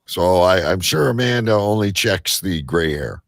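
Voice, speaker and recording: Super deep gravelly guy hosting a live show, halting and jokey, dry close sound. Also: lively intonation, no echo. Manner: joking, teasing, halting. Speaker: host of a live online show.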